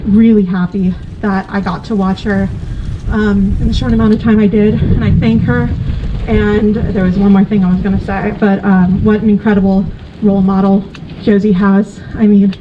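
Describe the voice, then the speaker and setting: A young woman giving an earnest, halting tribute at an outdoor memorial by a lake. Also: mid-range voice, level tone. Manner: earnest, halting, filler-heavy. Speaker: vigil attendee. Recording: dull audio with wind and boat noise.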